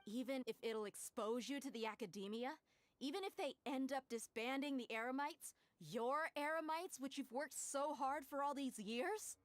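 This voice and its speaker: A bold, fiery female mercenary, voiced by a woman in a video game. Her voice sits a little high. She talks bluntly and challenges others. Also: moderate pace, dry recording, lightly acted. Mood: pressing.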